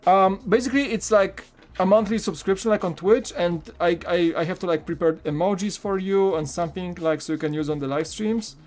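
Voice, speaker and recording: A man's voice: sits high, a little fast, darkish tone. A man in his thirties from Poland with a Polish accent, chatting casually on a live stream while building, with lots of fillers and restarts. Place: home studio room.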